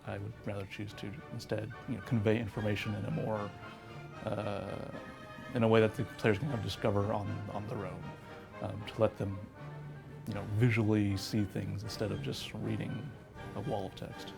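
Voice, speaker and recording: Gravelly, low, monotone man. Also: normal speed. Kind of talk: casual interview talk. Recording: clear music behind the voice. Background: background music.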